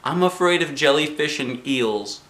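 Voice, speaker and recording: A man reading aloud, voicing an excitable, dramatic little girl who screeches when scared. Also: on the high side, normal speed, voice pushed up. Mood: glum.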